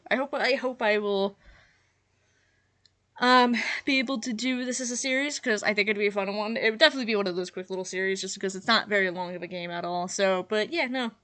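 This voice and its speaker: A woman's voice: medium pitch, quick pace, fairly bright tone. A young woman with a stuffy, nasal voice from just having woken up talks through her commentary. She rambles loosely, full of fillers, and laughs at herself along the way. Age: young adult. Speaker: channel host. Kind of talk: casual reaction commentary.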